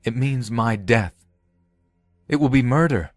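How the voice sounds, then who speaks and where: A man's voice: medium pitch, measured pace, dry recording, soft background music, voice pushed up, natural voice. A man voicing a frantic, pleading man accused of being a robot in a science fiction story. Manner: pleading, insistent. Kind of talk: dramatised science fiction audiobook.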